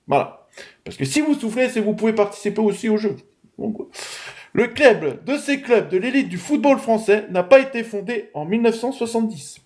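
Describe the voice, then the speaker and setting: A man's voice: high voice, a little mellow. A man hosting a casual live stream, chatting, thanking viewers and running a quiz. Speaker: live show host.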